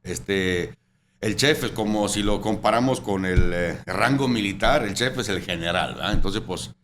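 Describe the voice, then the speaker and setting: A low-pitched Italian man in his forties chats about his work as a chef, speaking with a native Italian accent. He rambles along with fillers and keeps tagging questions onto his sentences. Noticeable room noise sits behind him. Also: normal speed, fairly bright tone. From Italy. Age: 40s. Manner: rambling, full of fillers and "¿verdad?". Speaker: chef and restaurant owner. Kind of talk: casual interview chat. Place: his restaurant. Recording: noisy room ambience.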